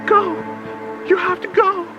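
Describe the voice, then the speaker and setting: A man's voice: extremely high pitch, level tone, slow pace, dull audio, music in the background, pitched above the performer's own voice. A man voicing a terrified young woman on the run, pleading breathlessly and urgently in a horror radio story. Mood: panicked. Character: terrified pale young woman fleeing evil, desperate and pleading.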